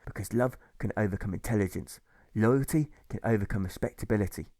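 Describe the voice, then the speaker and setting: A man's voice: on the low side, a little fast, warm tone, no room sound. A man voicing a loyal female dog in an audiobook fable, earnest and passionate.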